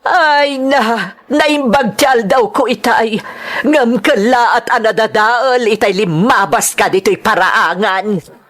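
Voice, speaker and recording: An actress playing a mocking, envious middle-aged village aunt in an Ilocano radio drama, sarcastic and drawling, with a Filipino accent. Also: female voice, a little high, slow, a bit bright.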